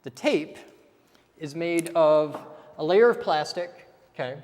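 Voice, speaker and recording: A man's voice: on the high side, lively intonation, takes it slowly, a little mellow, long reverb tail. A man teaching step by step in a casual way, often checking in with "okay?" as he goes. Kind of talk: casual technical explanation while drawing.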